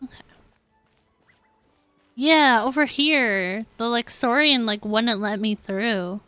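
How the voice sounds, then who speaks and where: A young woman livestreamer chatting casually with viewers in a halting, conversational, giggly way while playing a game. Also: fairly high pitch, bright, forceful tone, clean tone, dry recording, soft background music. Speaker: game streamer.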